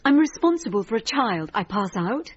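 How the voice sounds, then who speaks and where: An actress playing an anxious, hesitant female therapy patient in a radio drama, speaking in a halting, nervy, searching way. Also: a little high, very animated, muffled recording. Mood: anxious, confessing. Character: anxious, hesitant woman patient, a panicky mother.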